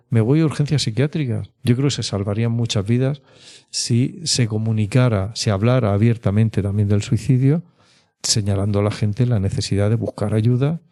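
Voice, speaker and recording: Male interview guest. Low, dark timbre, reflective expert who pauses and adds tag questions. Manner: hesitant, reflective, frequent question tags. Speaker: mental health expert guest. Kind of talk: explanatory podcast interview.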